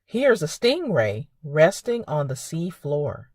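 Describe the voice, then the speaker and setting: A woman hosting a preschool storytime, speaking in simple, expressive phrases for young children. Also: low voice, slow pace, dry recording, studio quality. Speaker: children's librarian and storytime host. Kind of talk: preschool storytime reading and narration.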